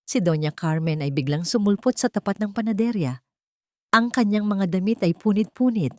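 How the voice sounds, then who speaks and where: A woman with a Filipino accent narrating a scripted love story in a measured, solemn, sentimental manner. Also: lowish voice, a little mellow, dry recording, clean studio sound.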